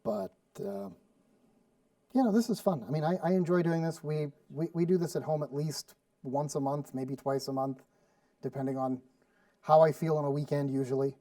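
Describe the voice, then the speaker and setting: A man's voice: fairly high pitch, moderate pace, warm tone, dry recording, far from the mic. A man from Sweden with no accent, chatting casually and rambling with fillers while demonstrating a recipe as a cooking show host. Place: studio kitchen.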